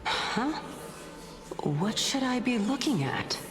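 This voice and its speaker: A woman's voice: a little high, big pitch swings, takes it slowly. An actress playing a haughty female Titan spirit in a video game, grand and taunting in the way she talks. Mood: curious. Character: haughty teasing female Titan spirit sharing a man's body. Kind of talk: video game story acting. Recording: game music behind the voice.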